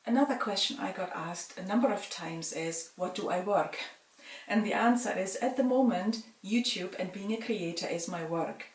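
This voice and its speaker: A middle-aged woman from Germany calmly answers viewer questions in a casual vlog, pausing with frequent ums. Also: medium pitch, distant mic. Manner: calm, measured, with frequent ums. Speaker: vlogger. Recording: roomy sound from away from the mic.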